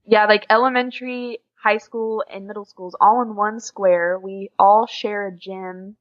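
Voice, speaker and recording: A woman's voice: medium pitch, fairly even intonation, measured pace, muffled recording. A teenage American woman chatting casually as an interview guest, informal and peppering her talk with "like". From USA, small town in Oklahoma. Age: teens. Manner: informal, frequent "like" as filler. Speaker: interview guest, exchange student. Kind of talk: casual chat.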